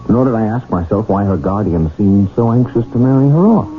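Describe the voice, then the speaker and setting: An actor playing an earnest, lovestruck young gentleman in a radio drama, speaking formally and ardently. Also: on the low side, lively intonation, fairly quick, close to an everyday voice. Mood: wistful narrating. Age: young adult. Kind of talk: radio drama acting with first-person narration. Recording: muffled vintage radio recording, music behind.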